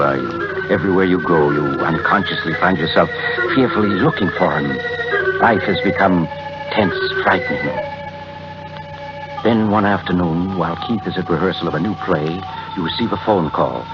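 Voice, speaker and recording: An actor playing an ominous storyteller, narrating old radio suspense in a deliberate, dramatic way as he addresses the heroine. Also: sits low, close to an everyday voice. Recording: dull old radio recording. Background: loud music.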